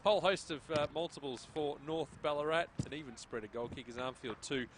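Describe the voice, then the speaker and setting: Middle-aged Aussie male footy host, higher voice, jokey and chatty, rattles off scores; faint noise. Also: brisk. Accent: Australian. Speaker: sports broadcast host. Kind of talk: football broadcast commentary.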